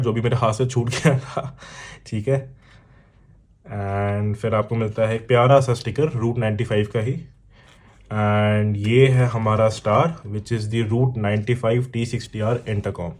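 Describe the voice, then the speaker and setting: A man's voice: fairly low pitch, fairly even intonation, slow pace, a little mellow. A man with an Indian accent giving a casual, explanatory unboxing talk as a vlogger, addressing the viewer directly. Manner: explanatory, addresses viewer with examples and check-ins. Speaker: motorcycle vlogger and product reviewer. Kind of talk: casual unboxing talk mixing Hindi and English.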